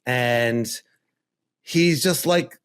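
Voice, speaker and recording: A man's voice: medium pitch, extremely slow, clear, resonant tone. Upbeat man with a Philly accent. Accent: Philadelphia. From Philadelphia suburbs, USA. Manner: upbeat, talkative, laughs easily. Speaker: podcast host. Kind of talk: casual chat.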